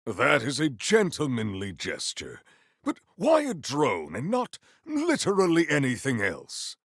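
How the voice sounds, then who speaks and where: Game warrior-poet: battle-eager, lofty formal diction, gravelly edge, very dry close sound. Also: male voice, medium pitch, huge pitch swings, measured pace, fairly bright tone, dry recording, full character voice. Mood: musing, stately. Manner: grandiose, formal, theatrical diction. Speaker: video game voice actor. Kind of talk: scripted game character lines.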